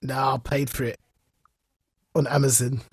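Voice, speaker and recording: A man co-hosting a podcast, talking casually and haltingly, repeating words for emphasis. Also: mid-range voice, flat delivery, husky, no room sound, studio quality. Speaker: podcast co-host. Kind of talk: casual chat.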